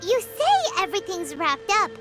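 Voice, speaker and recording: A woman voicing an excitable, nosy, childlike floating fairy companion with a squeaky voice in a video game. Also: moderate pace, loud music behind the voice, heavily acted. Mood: worried, insistent. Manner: exclamatory, refers to self in third person. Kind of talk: video game character acting.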